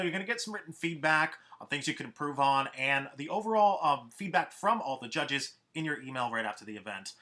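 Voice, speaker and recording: A man hosting an online music showcase over a video call, upbeat and brisk like an emcee. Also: on the high side, sharp tone. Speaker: host.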